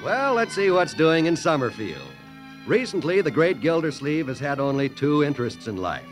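A male radio announcer from the 1940s reads ads and sets up scenes with polished emphasis. His delivery has a salesy lilt, and his pitch rises and falls expressively. Faint music plays behind him. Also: very animated, normal speed, low-quality recording.

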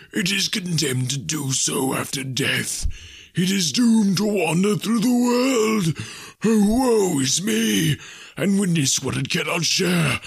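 Slow, wailing, tormented male ghost. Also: fairly high pitch, vocal fry, a bit bright, breathy edge, dry recording, studio quality, voice pushed up, heavily acted. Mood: solemn, booming, then wailing, anguished. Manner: slow, wailing, solemn declamation. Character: mournful male ghost in chains, tormented by remorse. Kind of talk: dramatised classic ghost story reading.

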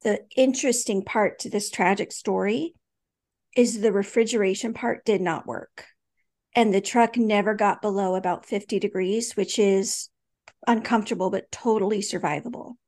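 A woman's voice: mid-range voice, fairly even intonation, normal speed, darkish tone. A woman co-hosting a faith podcast in casual conversation, earnest and storytelling, with fillers and restarts. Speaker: podcast co-host.

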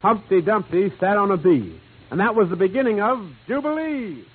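A thin, narrow-band old radio recording with some hiss carries a male American announcer. His voice sits high, and he delivers showy, jive-talking 1940s emcee patter. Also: sing-song, normal speed. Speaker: radio music show host.

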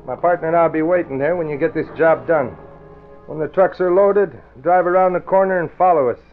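An actor playing a bossy gangster boss in 1940s radio style, brisk and slangy as he orders henchmen. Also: fairly high pitch, faint music behind, natural voice. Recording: muffled old radio recording.

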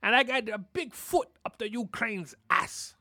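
Guy doing a nasal fake-russian tough guy, low and slow, bragging. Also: animated, sharp tone, no echo, studio quality, heavily acted. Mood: boastful. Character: nasal little Russian strongman leader, boastful.

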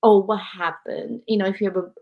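A woman's voice: medium pitch, fairly even intonation, fairly quick, highs cut off. A woman in her forties from Japan hosts an interview in a mid-range voice. She thinks aloud as she goes, leaning on "like" and "you know" as she shapes her questions and reflections. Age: 40s. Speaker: podcast host. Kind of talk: interview conversation.

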